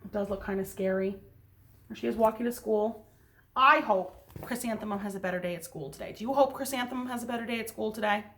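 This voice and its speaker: A woman's voice: medium pitch, fast, a bit bright, slight background noise. A woman reading a picture book aloud as a teacher, expressively and with dramatic emphasis, stopping to speak directly to the children. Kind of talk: animated children's read-aloud with asides and questions.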